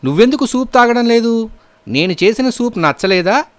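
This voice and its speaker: A man's voice: extremely high pitch, animated, normal speed, dry recording, voice pushed up. A man voicing a testy fox in an animal fable, with a pointed, questioning manner. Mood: needling.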